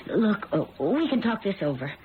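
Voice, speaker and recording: An actress plays a brash, mocking kept woman in an old radio drama. Her slangy delivery is lively and swoops up and down. The recording is narrow and tinny, with a little noise. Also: medium pitch, normal speed, lightly acted. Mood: alarmed. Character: brassy, flippant kept woman, a mocking gold-digger.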